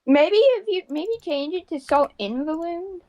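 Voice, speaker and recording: Female roleplay friend, quick suggestions, high voice, dry close sound. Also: lively intonation, warm tone, dry recording, lo-fi sound. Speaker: roleplay player. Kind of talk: casual chat on a voice call.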